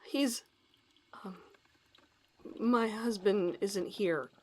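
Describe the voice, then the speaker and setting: A woman's voice: mid-range voice, extremely slow, a little mellow. A woman playing a determined academic searching for her missing husband in an earnest audio drama. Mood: anxious. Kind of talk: audio drama acting and narration.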